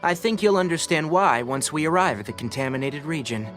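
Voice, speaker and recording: An actor playing a calm, scholarly young male researcher in a video game, articulate and measured as he explains. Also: fairly high pitch, soft background music. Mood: calm, analytical.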